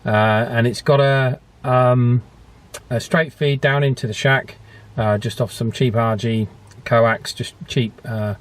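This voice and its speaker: A man hosts a casual hobby channel about radios. He talks in a chatty, unpolished way at an average pitch, a little hesitant and understated. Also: steady tone, slow. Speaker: host of a radio repair and testing channel. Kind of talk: casual vlog commentary.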